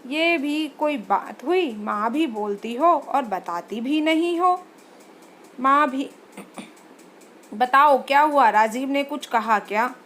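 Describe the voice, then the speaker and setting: A woman's voice: sits high, expressive, slow, fairly bright tone, a little hiss or hum, exaggerated voice. A woman voicing a caring older mother-in-law who coaxes her daughter-in-law with concern. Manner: concerned, coaxing.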